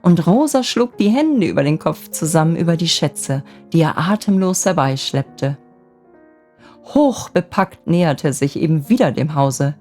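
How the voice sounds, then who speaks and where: A woman with a gentle voice narrating a scripted bedtime fairy tale as a storyteller. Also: deep voice, animated, unhurried. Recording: music bed under the voice.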